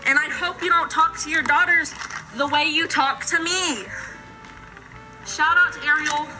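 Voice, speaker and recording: A woman's voice: sits high, fairly even intonation, unhurried, over music, rough recording. A woman making a blunt, defiant, profane announcement over a store PA. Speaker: retail worker quitting. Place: large retail store. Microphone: store PA phone, recorded on a video clip.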